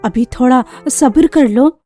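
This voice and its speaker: A woman's voice: high voice, slow, warm tone, voice pushed down. A woman voicing a loving, worn-down grandmother from a poor village in a cartoon story. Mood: tender, sorrowful. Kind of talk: Hindi cartoon story character voice.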